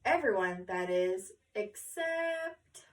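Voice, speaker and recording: A woman reading a children's story aloud with expressive, deliberate phrasing, pausing to question her listener. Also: mid-range voice, far from the mic, a little hiss or hum.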